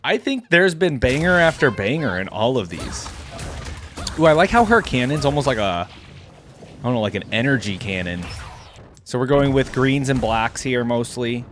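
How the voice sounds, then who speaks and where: Casual young man, medium pitch. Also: big pitch swings, normal speed, fairly bright tone, soft background music. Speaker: reaction video host. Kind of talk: casual reaction commentary.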